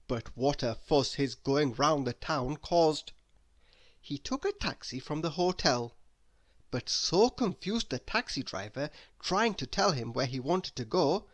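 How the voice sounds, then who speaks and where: A man reading a children's story aloud in an expressive, deliberate way. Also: a little high. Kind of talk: expressive scripted narration for children.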